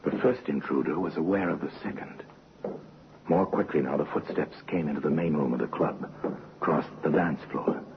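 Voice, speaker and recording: A man narrating a crime story in a grave, deliberate, suspenseful manner, as host of a vintage radio show. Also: extremely low pitch. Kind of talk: scripted dramatic narration. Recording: hissy muffled vintage radio recording.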